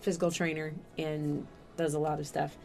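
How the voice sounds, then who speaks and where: A woman's voice: low-pitched, little pitch movement, moderate pace, vocal fry, a little mellow, faint music behind. A woman in her thirties co-hosting a gaming video, chatting in a bubbly, talkative way. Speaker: co-host, host's wife. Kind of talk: casual chat. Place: home recording room.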